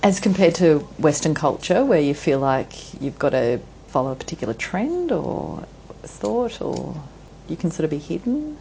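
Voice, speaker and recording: Low-voiced woman host. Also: sing-song, normal speed, gravelly, mellow, slight background noise, low-quality recording. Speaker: podcast host. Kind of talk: interview chat.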